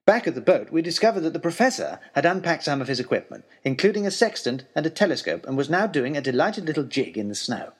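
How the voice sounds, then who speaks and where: A man's voice: fairly high pitch, sing-song, fast, hardly any pauses, breathy edge. A man with an English accent narrating a period adventure story in the first person, in a dramatic style.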